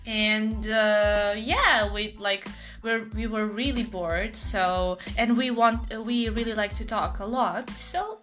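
A woman's voice: mid-range voice, slow pace, a bit bright, faint music behind. A young woman, co-hosting a casual podcast chat in a living room, laughing often and filling her talk with fillers. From Serbia. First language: Serbian. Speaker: podcast co-host.

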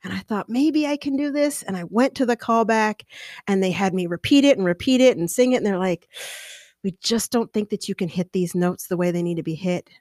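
A middle-aged woman with a lower mezzo-alto voice, hosting a podcast and telling a personal story in a lively, animated way, talking with her hands. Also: fairly quick, dry recording, studio quality. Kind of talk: casual storytelling. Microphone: podcast microphone, close.

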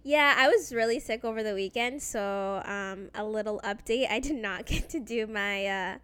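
A woman co-hosting a casual podcast chat, chatty and upbeat, her voice slightly hoarse from recovering from an illness. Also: medium pitch, moderate pace, a bit bright. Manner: chatty, upbeat, lots of fillers and laughs. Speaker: podcast co-host.

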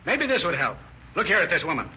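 A fire chief in an old radio drama, talking fast in a hard, piercing tone. He is clipped and used to giving orders. The recording is dull with faint noise. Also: fairly high pitch, sing-song, bright, forceful tone, full character voice. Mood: grim, businesslike. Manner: clipped, authoritative. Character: brisk, commanding male fire battalion chief, grimly observant. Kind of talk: 1930s radio drama acting.

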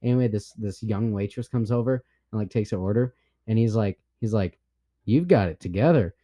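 Young man, dark-toned, laughing podcast host. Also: fairly low pitch, fairly quick. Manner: laughs often, crude joking. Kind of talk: casual chat. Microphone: studio mic on a deadener.

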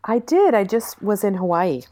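A middle-aged woman from the Portland area, a nurse midwife, talking as a podcast guest in a relaxed, measured way and pausing to find her words. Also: medium pitch, dry recording. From Portland, Oregon area. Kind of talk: casual conversation on a call. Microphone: phone call.

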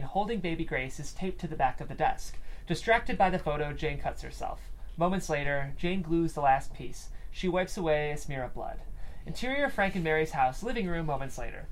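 A man's voice: on the high side, low-quality recording. A man reading stage directions as scripted narration at a table read, with room noise behind him.

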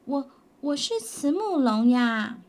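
A woman voicing a young boy dinosaur in a storybook, speaking in high, pleading protests with stammers. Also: big pitch swings, takes it slowly, darkish tone, exaggerated voice. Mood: puzzled. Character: young male dinosaur child, upset and stubborn.

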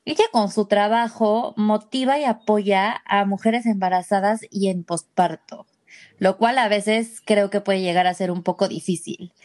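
A woman's voice: mid-range voice, fairly even intonation, measured pace, a little mellow. A woman hosting a podcast for mothers, welcoming and introducing her guest in an upbeat, friendly way. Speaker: podcast host.

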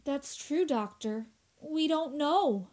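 A woman's voice: high voice, expressive, slow pace, a little mellow. A woman playing an anxious, insistent young working woman in a radio horror drama, with an earnest, dramatic delivery. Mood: worried, pleading.

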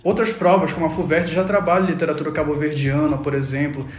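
A man's voice: a little high, steady tone, quick pace. Emphatic male teacher, echoey room. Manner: emphatic, lecturing to students. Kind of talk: educational panel discussion.

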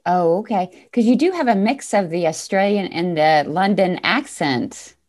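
A middle-aged woman hosting a podcast interview, eager and chatty with quick interjections. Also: fairly low pitch, expressive, a little mellow. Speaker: podcast host, modeling scout.

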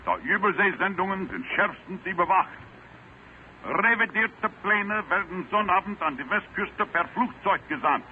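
High-pitched Gestapo radio agent. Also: male voice, expressive, normal speed. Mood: urgent, official. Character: German Gestapo agent secretly broadcasting to Berlin. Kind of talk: 1940s radio acting. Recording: noisy, tinny old radio recording.

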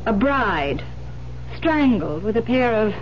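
A woman's voice: on the high side, sing-song, slow pace. A woman playing an urgent, persuasive but guarded police detective in an old-time radio drama. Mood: guarded, hesitant. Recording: muffled, noisy old radio broadcast recording.

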